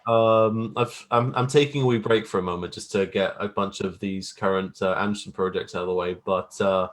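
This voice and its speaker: A man's voice: low voice, fairly even intonation, a little fast. A man chatting casually as an interview guest, talking in a hesitant, rambling, self-deprecating way. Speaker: guest, graphic artist who makes trailers.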